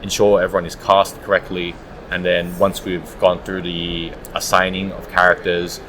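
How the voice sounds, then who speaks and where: A man's voice: deep voice, completely flat delivery, moderate pace, fairly bright tone, a little hiss or hum. A man answering interview questions conversationally as an audiobook producer at a live event.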